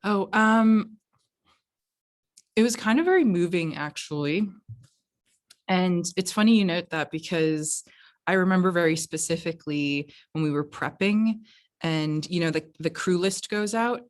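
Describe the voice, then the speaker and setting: A woman with an American accent answering casually as an interviewed film producer, reflective and halting, often saying "like". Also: on the low side. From United States. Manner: halting, reflective, frequent 'like'. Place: remote virtual event.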